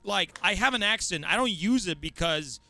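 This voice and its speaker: Higher-voiced host from the virgin islands, bright, stammers a lot, says man and dude. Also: animated, moderate pace, no room sound. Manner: stammering, repeats words, slangy. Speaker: esports commentator and host. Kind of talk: casual interview chat.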